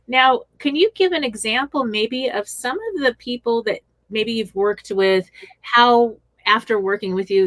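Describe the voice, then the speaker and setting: A woman's voice: mid-range voice, no room sound. A woman radio host interviewing a guest, giving encouraging short affirmations and asking questions.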